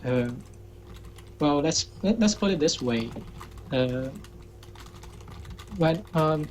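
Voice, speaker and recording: A man's voice: on the high side, animated, takes it slowly, a little mellow. Hesitant chinese-native guy, lots of uh's, kinda noisy line. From China. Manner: hesitant, frequent uh fillers and restarts. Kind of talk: casual chat.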